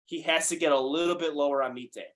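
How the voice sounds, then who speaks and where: Fast-talking young man, higher voice. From United States. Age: 20s. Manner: fast, animated, swears freely. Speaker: guest powerlifter. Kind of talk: casual podcast chat.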